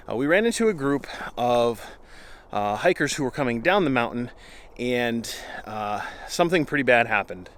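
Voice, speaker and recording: A man telling an unscripted story in a casual, conversational way, with frequent pauses and slangy asides. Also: mid-range voice, a bit bright. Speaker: outdoor vlogger telling a story. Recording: clear ambient background noise.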